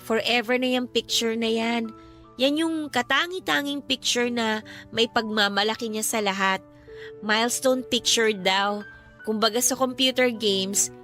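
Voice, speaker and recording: A high, bright young female voice plays a devout sister in mourning. She speaks earnestly and reassuringly, in the manner of a Filipino radio drama, with music underneath. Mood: wistful, reflective. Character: grieving, devout younger sister consoling her older friend.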